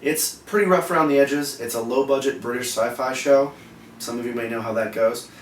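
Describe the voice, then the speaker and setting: Male co-host, medium pitch, casual scripted explainer delivery, roomy sound with faint noise. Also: brisk. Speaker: co-host of a geek culture explainer show. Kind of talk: scripted presenting with casual opinion. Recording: roomy, distant mic, faint background noise.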